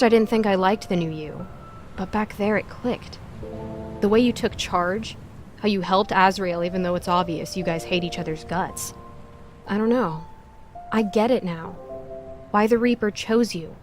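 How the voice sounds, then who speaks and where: A woman's voice: medium pitch, a little slow, long pauses, lightly acted. A woman playing a guarded, lonely young goddess, blunt and sardonic, in an audio drama. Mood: earnest. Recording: music behind the voice.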